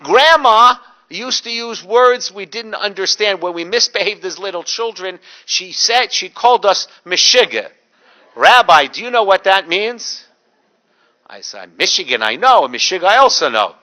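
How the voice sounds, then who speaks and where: A man's voice: high-pitched, normal speed, low-quality recording. A middle-aged man, a rabbi giving a public talk, telling stories with dry humor and mimicking the people in them. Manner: animated, mimics voices in anecdotes. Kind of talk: storytelling lecture with dry humor.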